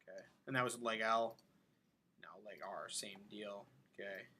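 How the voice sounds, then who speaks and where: A man giving an informal software tutorial, thinking aloud as he demonstrates each step. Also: fairly low pitch, measured pace, slight background noise. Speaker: animation instructor. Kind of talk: casual screen-recorded tutorial narration.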